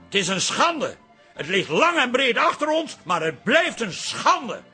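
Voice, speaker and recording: An actor playing a blunt, sceptical son-in-law in a radio comedy drama. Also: male voice, extremely high pitch, wildly swooping pitch, a little slow, sharp tone, husky, soft background music, exaggerated voice. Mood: disapproving.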